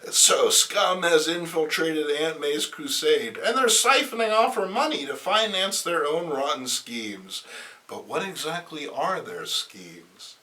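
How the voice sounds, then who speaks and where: A man's voice: on the high side, animated, slow, bright, forceful tone, low-quality recording. A man giving a playful, theatrical dramatic reading of a comic book, with wry asides. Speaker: amateur comic book reader.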